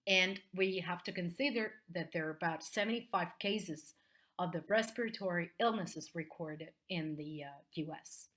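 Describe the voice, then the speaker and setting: A woman's voice: lowish voice, normal speed, fairly bright tone. A woman with an Italian accent teaching in an explanatory video lesson, often checking in with short tags at the end of phrases. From Italy.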